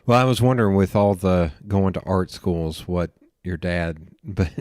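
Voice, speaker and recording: A man's voice: sits low, measured pace, dry recording, studio quality. A man from Knoxville with an East Tennessee accent, hosting a podcast and chatting informally with his guest. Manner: chatty and informal, slips into animated retellings. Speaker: podcast host. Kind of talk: casual interview conversation.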